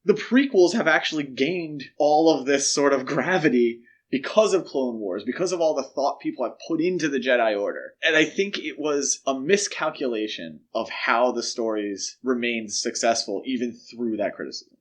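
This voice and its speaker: A man's voice: mid-range voice, expressive, normal speed, few pauses. A man co-hosts a casual film discussion podcast. He explains his points step by step in a conversational way, putting emphasis on the key words as he builds an argument. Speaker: podcast host.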